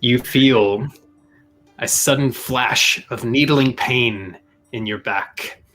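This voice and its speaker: A man improvising tabletop game narration as game master, telling the scene in a halting, descriptive way. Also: medium pitch, a bit bright. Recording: music behind the voice. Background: music.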